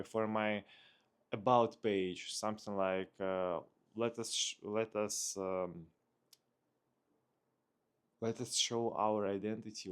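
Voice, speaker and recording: A man with a Russian accent speaks very slowly in a fairly low voice. He talks casually as a vlogger, unscripted, often pausing to search for the next phrase as he thinks aloud. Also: smooth. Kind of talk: casual unscripted vlog talk.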